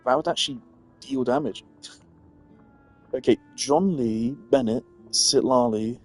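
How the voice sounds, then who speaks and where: A male game streamer talks casually and loosely, as if working things out aloud. His voice sits in a middle register for a man, and soft music plays faintly behind his commentary. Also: medium pitch, unhurried. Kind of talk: casual rambling gaming commentary.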